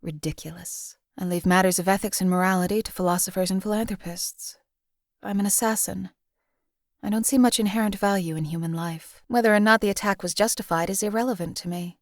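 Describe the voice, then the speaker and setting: A woman voicing a young female assassin in a game, speaking in a flat, clipped, unemotional way. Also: medium pitch, brisk, no room sound, clean studio sound, close to an everyday voice. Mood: cold. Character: cold detached female assassin, young adult. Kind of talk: game companion voice lines.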